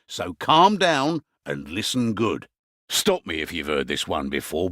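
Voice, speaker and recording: An actor playing a gruff, wry middle-aged soldier spymaster with a British accent and gravelly voice, briefing troops in a fantasy video game. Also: male voice, on the low side, fairly bright tone, no room sound, clean studio sound, full character voice. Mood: grave, urgent. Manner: sardonic, colloquial, theatrical.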